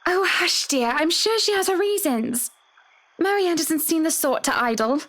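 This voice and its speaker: A woman's voice: very high-pitched, expressive, unhurried, fairly bright tone, full character voice. An actress playing an effusive female employer in a video game, who calls people "dear" and "love". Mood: soothing. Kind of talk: voiced video game dialogue.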